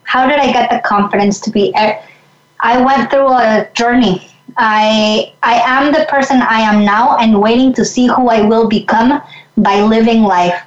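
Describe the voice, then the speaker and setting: A woman's voice: on the high side, measured pace, reverberant, highs cut off. A woman from Mexico, a guest in an interview, speaking reflectively and earnestly, often restarting her sentences. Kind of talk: conversational interview.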